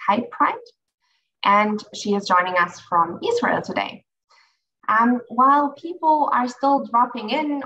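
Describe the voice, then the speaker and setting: A woman's voice: medium pitch, pushed and bright. A woman hosts an online session, friendly but hesitant, with many ums and restarts. Her voice comes through dull and a little distant over a video call. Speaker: moderator.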